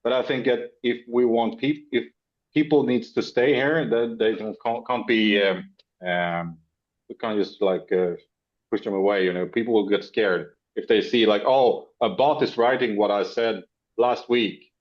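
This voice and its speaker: A man's voice: on the low side, measured pace. Stumbling man on muffled call audio. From Europe. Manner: hesitant, stumbling, jokey. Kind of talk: casual discussion.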